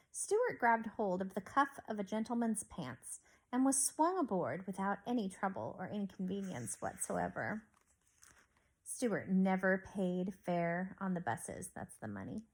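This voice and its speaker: Female teacher for a children's chapter book read-aloud; animated pitch, expressive delivery aimed at kindergarteners. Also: low-quality recording. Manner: expressive, addressing young children. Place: on a couch at home.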